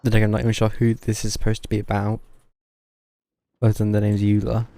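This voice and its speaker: Dry male streamer, mellow dark voice. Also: on the low side, monotone, quick pace, gravelly, a little hiss or hum. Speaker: game streamer. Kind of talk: casual live stream commentary. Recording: game music underneath.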